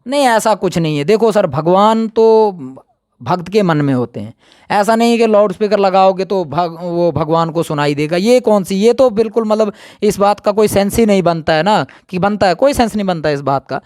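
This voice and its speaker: A man's voice: high voice, expressive, moderate pace, a little mellow, no room sound, clean studio sound. A man giving his opinion in an impromptu interview, speaking emphatically and repeating his points. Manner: emphatic, repetitive.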